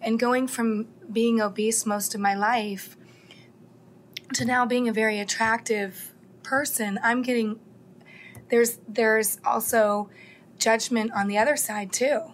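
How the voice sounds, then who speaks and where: A woman's voice: a little high, fairly even intonation, slow pace, slight background noise. A woman telling a discussion group about her experience, talking conversationally with many fillers. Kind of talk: personal testimony.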